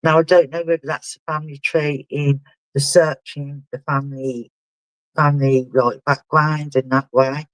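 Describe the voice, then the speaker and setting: A man working as a spiritual medium, giving a live reading in a halting way and often asking the sitter whether it makes sense. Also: on the high side, little pitch movement, dry recording, poor audio. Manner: halting, relays messages and keeps asking the sitter to confirm.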